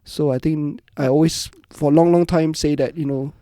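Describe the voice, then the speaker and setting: A man's voice: a little high, steady tone, normal speed, dark tone, dry recording, studio quality. A man with a Singaporean accent hosting a podcast in a reflective, conversational interview style. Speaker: podcast host.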